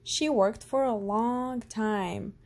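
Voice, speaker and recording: A woman reading a children's storybook aloud as narrator, expressive and deliberate. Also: fairly high pitch, gravelly.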